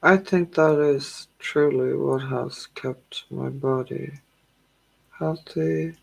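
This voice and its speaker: A tired woman hosting a tarot livestream, chatting casually with her viewers in a slow, drowsy, calming way. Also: a bass voice, gravelly, breathy edge, rough recording.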